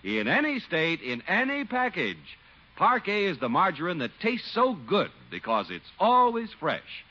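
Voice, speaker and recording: A man's voice: high voice, huge pitch swings, unhurried, pushed and bright. A man announcing in a polished, emphatic 1950s radio sales style, reading from a script. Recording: dull, husky-sounding old radio recording.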